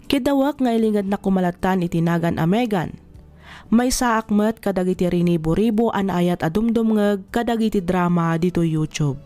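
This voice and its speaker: A woman's voice: medium pitch, moderate pace, dark tone, soft background music, natural voice. A woman playing an earnest letter sender, politely narrating a friend's life story for an Ilocano radio drama.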